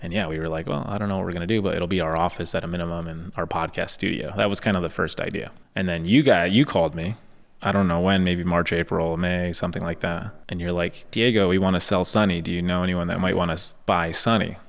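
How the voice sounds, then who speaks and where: A low, gravelly male voice with an easy, chatty delivery, full of filler words as he tells the story. He talks into a boom-arm studio mic, and a long reverb trails behind him. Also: fast talker. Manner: relaxed storytelling with many "like" fillers. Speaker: podcast host. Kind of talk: casual podcast conversation. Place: podcast studio in a storefront building. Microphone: boom-arm studio microphone.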